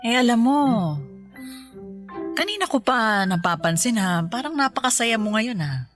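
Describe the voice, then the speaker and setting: A woman's voice: a little high, sing-song, moderate pace, over music. A woman with a Filipino accent playing a middle-aged mother in a radio drama, affectionate and teasing in a conversational way. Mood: teasing, fond. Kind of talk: Tagalog radio drama acting.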